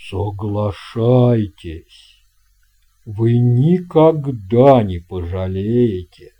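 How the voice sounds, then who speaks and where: A man voicing a courteous, dignified steamship captain in an audio storybook, speaking in a formal, measured way. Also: on the low side, breathy edge, dull audio, exaggerated voice. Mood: courteous, inviting. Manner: formal, measured, weighty delivery.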